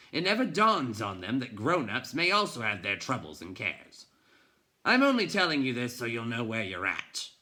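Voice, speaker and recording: A man voicing a cross, gruff old storybook bookseller who grumbles and scolds with blustery scorn. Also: mid-range voice, pushed and bright, pitched above the performer's own voice. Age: older. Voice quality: gruff, growling.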